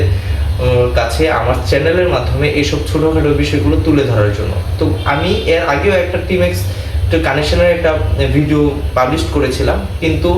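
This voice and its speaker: Echoey, distant man explaining step by step. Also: on the high side. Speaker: motorbike rider and video channel host. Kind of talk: casual spoken tutorial. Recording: echoey, distant mic, noisy ambience.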